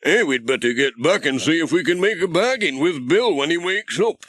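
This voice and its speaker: A man's voice: a little high, lively intonation, moderate pace, a bit bright, dry recording, pitched above the performer's own voice. A man voicing a cheery, practical village handyman in a children's storybook. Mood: eager.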